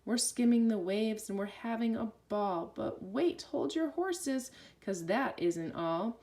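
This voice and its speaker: Expressive woman reading a rhyming picture book. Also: on the high side, slow pace. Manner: expressive, sing-song rhyming delivery. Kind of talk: rhyming children's read-aloud.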